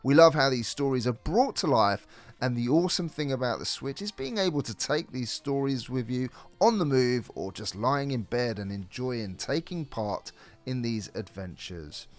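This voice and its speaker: A man's voice: medium pitch, lively intonation, moderate pace. A man reading a scripted video game review as a co-host. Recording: music behind the voice. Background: background music.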